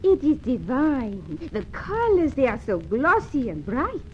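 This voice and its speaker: High-voiced flirtatious French dancer. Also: female voice, huge pitch swings, unhurried, darkish tone, a little hiss or hum, heavily acted. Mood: delighted. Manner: coquettish, gushing, giggly. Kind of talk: mid-century radio serial acting. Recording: dull old radio recording.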